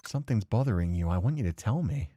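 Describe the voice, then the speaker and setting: A man's voice: lowish voice, expressive, fast, dark tone, highs cut off, slight background noise, lightly acted. A man reading as a subdued, anxious young man. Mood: worried. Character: reserved, anxious young man, worried and suspicious. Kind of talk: visual novel character read aloud.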